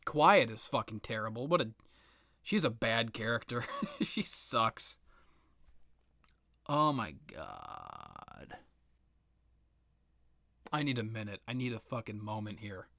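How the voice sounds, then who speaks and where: A man's voice: lowish voice, very animated, takes it slowly, vocal fry, pauses often, bright, forceful tone, dry recording. A man giving an unscripted, opinionated rant about video games in a blunt, exasperated, sweary manner. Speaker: video game commentator.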